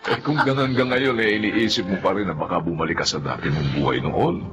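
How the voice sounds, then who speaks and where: A man's voice: low-pitched, brisk, very noisy, natural voice. A man acting a kindly, reassuring middle-aged uncle in a family drama, fatherly and folksy, with a Filipino accent.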